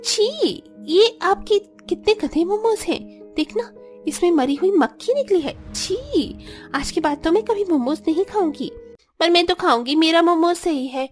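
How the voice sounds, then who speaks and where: Very high-voiced, demanding young sister-in-law. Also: female voice, animated, unhurried, soft background music. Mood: disgusted. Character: young sister-in-law, whiny, demanding, momo-crazy schoolgirl. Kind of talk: Hindi cartoon story acting.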